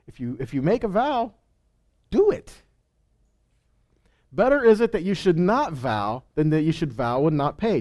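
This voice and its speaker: A man's voice: on the high side, a little mellow. A man giving an informal Bible teaching, reading scripture and commenting in a conversational way with rhetorical questions and frequent check-ins.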